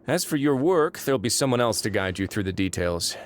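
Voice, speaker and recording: A man's voice: fairly low pitch, lively intonation, a little fast, slight background noise, close to an everyday voice. A man voicing a suave prison warden in a game, courteous with a dry, sarcastic edge. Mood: wry. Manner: smooth, courteous, wryly sarcastic. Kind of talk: game voice acting.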